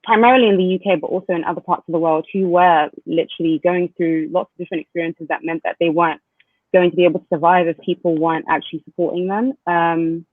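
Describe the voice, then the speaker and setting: A man's voice: a little high, steady tone, quick pace, no room sound. A man hosting an online panel, talking casually with many fillers and restarts. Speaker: panel host. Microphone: video call. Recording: tinny phone-like line.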